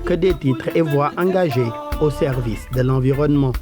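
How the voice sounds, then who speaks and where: A man's voice: medium pitch, moderate pace. Male news narrator over music. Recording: music bed under voice-over.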